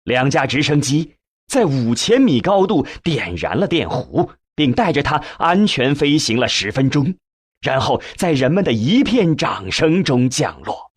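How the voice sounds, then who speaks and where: A man reading a novel as a scripted narration, with measured, expressive storytelling and a husky voice. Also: mid-range voice, no echo. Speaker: audiobook narrator.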